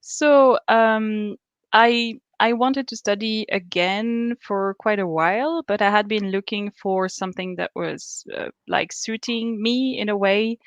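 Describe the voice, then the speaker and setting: Woman in her thirties from Austria, thoughtful guest on a video call, smooth and resonant voice, dry close sound. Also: medium pitch, measured pace, dry recording. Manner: thoughtful, many fillers and restarts. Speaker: student guest. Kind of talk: casual interview answers.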